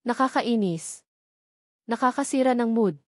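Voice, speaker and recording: A woman's voice: fairly high pitch, measured pace, no room sound, clean studio sound. A woman with a Filipino accent voicing a sulky, jealous young wife who talks in a plain-spoken, pouty way.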